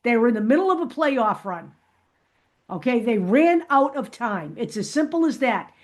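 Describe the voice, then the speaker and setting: High-voiced woman giving casual fan commentary. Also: very animated, normal speed. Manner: emphatic, often tags points with "okay?". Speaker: host of a fan vlog.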